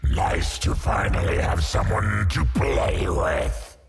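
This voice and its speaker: Deep, gravelly alien symbiote monster. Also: male voice, animated, slow, heavily acted. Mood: ominous, possessive. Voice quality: growling, gravelly. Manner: speaks of itself as "we", theatrical. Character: alien symbiote creature bonded to host, menacing yet possessive. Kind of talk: video game character voice lines. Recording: music under the voice.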